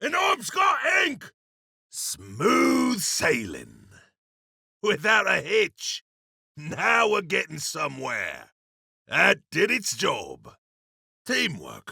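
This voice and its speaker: A battle-hungry video game berserker, with a hard-edged, piercing bright voice pushed high. He is cocky and gruff, barking his lines through a raspy throat. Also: wildly swooping pitch, slow pace, no echo, clean studio sound, exaggerated voice. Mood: wry, relaxed. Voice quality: gravelly, rough. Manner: forceful shouted battle barks.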